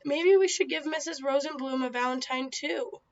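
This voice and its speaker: A woman's voice: sits high, moderate pace, dull audio, lightly acted. A woman voicing a kind-hearted young schoolgirl. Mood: hopeful.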